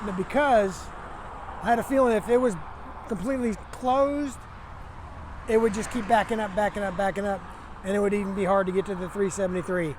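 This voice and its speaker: Trucker guy w/ pretty high voice, chatting folksy to camera, noisy vehicle sounds behind. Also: fairly quick. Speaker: trucker vlogger. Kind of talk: casual first-person storytelling to camera. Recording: noisy, vehicle and outdoor ambience.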